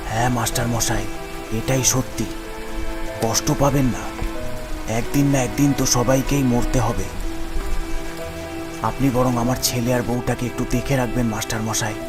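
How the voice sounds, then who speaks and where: A man's voice: mid-range voice, fairly even intonation, normal speed, pauses often, lightly acted. Sorrowful ghost of a devoted father played by a man, mournful, pleading, cartoon drama with music underneath. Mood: mournful, resigned. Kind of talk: Bengali cartoon story acting.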